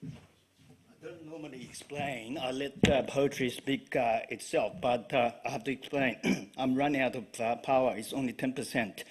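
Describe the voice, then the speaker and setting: A man with a Chinese accent, whose first language is Chinese, giving a live poetry reading in a halting way with frequent fillers. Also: medium pitch. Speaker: poet.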